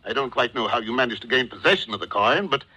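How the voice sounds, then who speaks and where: An actor in a 1940s radio drama playing a wily, jovial middle-aged gentleman villain who talks with pompous, florid formality. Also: mid-range voice, lively intonation, fast, breathy edge, exaggerated voice, poor audio. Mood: pompous, insistent. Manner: pompous, formal, chuckling, says "sir" constantly. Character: wily, jovial, florid fat-cat gentleman villain posing as coin dealer. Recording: old 1940s radio recording.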